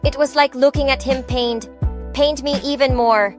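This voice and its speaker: A woman's voice: high-pitched, steady tone, measured pace, over music. A woman narrating a first-person audiobook story in a reflective, emotive way on a close mic.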